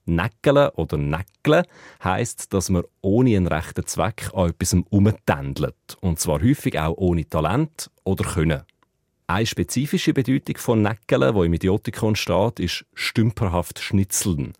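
A man with a Zurich Swiss German accent, a dialect expert explaining a word's meaning in a measured radio segment. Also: deep voice, animated, a little mellow, no room sound, clean studio sound. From Zurich, Switzerland.

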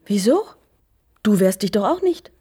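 A woman's voice: medium pitch, very animated, a little slow, background noise. An actress playing a shrewd, coaxing wife who works on her lover, in a naturalistic radio crime drama. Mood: anxious, goading.

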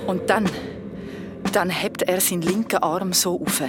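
A woman's voice: fairly low pitch, unhurried, very noisy, lightly acted. A woman playing a frightened young woman with a Swiss German accent, telling a nightmare in an audio drama with colloquial urgency. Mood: shaken storytelling. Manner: breathless, colloquial, urgent storytelling. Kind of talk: audio drama narration and acting.

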